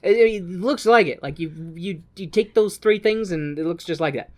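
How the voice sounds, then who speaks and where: A man's voice: high-pitched, normal speed, darkish tone. A man co-hosting a podcast, giving a sardonic, sweary comic plot recap in a theatrical, chatty way. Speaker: podcast co-host.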